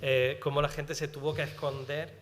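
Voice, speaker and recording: A man with an Andalusian accent from Granada, explaining slowly with frequent fillers. His voice sits in a mid register, and a long hall reverb follows it. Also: a little high, level tone, fairly bright tone, slight background noise. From Granada, Spain. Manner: hesitant, many fillers, explanatory. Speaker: panelist writer. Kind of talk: round-table discussion. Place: lecture hall at a public panel.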